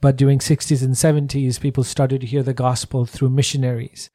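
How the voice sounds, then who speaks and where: Nepali-accented man, warm dry voice. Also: medium pitch, flat delivery, a little fast, dry recording. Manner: earnest, plain phrasing with frequent "uh" restarts. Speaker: ministry leader, guest. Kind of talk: interview answers.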